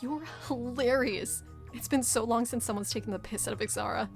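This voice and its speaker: A woman's voice: a little high, brisk, creaky, faint music behind. A woman playing an amused, supportive alien crewmate in a comedic audio drama, dry and understated. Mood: amused, approving.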